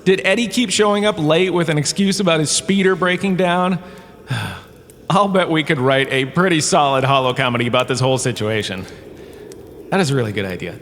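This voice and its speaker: A man's voice: a little high, fairly quick, fairly bright tone, lightly acted. A man playing a glib, self-amused washed-up drama star giving a wry, conversational comedic lecture with theatrical emphasis. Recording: echoey cave-like reverb, ambient noise behind.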